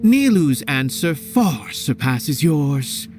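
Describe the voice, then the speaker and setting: Pompous arty philosopher from a game, theatrical, voice goes all over, music under it. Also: male voice, fairly high pitch, very animated, takes it slowly, a little mellow. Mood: airy, smug. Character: pompous, philosophical male art lover, airy and self-assured. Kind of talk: video game dialogue.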